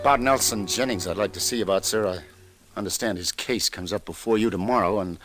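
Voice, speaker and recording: A man's voice: on the low side, brisk, a bit bright, lightly acted, poor audio. Casting: man playing slangy New York detective, hard-boiled yet kind-hearted, American accent, vintage radio drama with music. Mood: earnest pleading. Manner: slangy, clipped. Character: hard-boiled but compassionate male New York police lieutenant. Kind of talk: 1950s radio crime drama acting. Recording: old radio recording, music.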